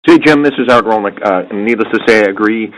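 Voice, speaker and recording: Low-voiced man on a conference call. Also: fast, poor audio. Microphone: telephone conference call.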